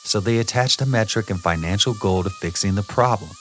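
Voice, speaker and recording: An actor playing an assured ghostly storyteller in an audio musical, explaining history in a rhythmic, performed delivery. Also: male voice, lowish voice, a little fast, natural voice. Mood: impatient, explanatory. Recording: loud hip hop music behind.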